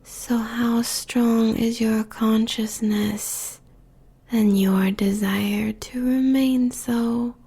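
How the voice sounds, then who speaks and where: Casting: woman to play succubus queen for intimate audio drama. Very slow, sultry, possessive delivery, hushed and husky, narrow even pitch. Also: full character voice. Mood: possessive, hypnotic. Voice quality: breathy whisper with gravelly fry. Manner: slow, deliberate, sultry, menacingly tender. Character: seductive, possessive female demon queen, a succubus. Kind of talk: whispered intimate audio roleplay monologue.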